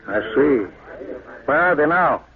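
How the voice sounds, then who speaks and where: An actor playing a stern, cautious spaceship captain in a 1950s radio drama, with a clipped, commanding manner. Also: male voice, a little high, animated, a little slow, husky. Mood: urgent, disbelieving. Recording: noisy, narrow-band 1950s radio recording.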